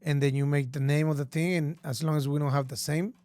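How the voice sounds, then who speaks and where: A man with a Costa Rican Spanish accent, co-hosting a casual podcast chat in a relaxed way. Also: on the high side, fast, no room sound. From Costa Rica. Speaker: podcast co-host.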